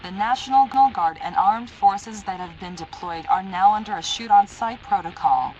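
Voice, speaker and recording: A synthetic female voice playing a stern, impersonal emergency alert announcer, reading formal, deliberate warnings in an analog horror broadcast. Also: medium pitch, expressive, darkish tone, muffled recording, a little hiss or hum, natural voice. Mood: stern, urgent.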